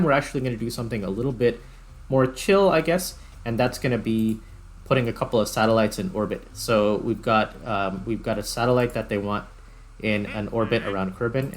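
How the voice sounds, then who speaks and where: A man hosting a gaming video with casual, chatty, informal commentary. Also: mid-range voice. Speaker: host of a gaming video series. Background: music.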